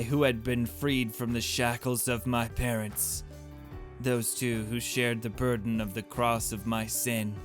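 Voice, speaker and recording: A man voicing a deranged, devoted young narrator from a horror visual novel, reading slowly and intimately. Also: medium pitch, close to an everyday voice. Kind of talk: slow dramatic reading of game text.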